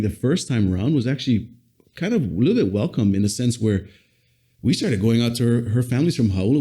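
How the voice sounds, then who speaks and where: A middle-aged man from Western Samoa, a business owner telling his story in a relaxed, matter-of-fact way on a podcast. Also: mid-range voice. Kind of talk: casual interview conversation. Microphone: podcast studio mic.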